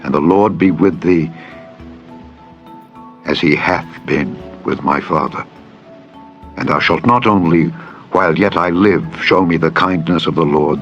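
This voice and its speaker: A man voicing a loyal, solemn prince and devoted friend in a dramatized scripture reading, with measured archaic oaths. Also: deep voice, fairly bright tone. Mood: solemn vow. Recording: dull lo-fi audio with music. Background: background music.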